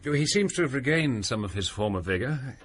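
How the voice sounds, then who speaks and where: Man as a gentle young scholar. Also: medium pitch, expressive, fairly quick, a little hiss or hum, lightly acted. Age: young adult. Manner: gentle, reassuring, polite. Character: mild young scholar, book lover. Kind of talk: radio drama acting.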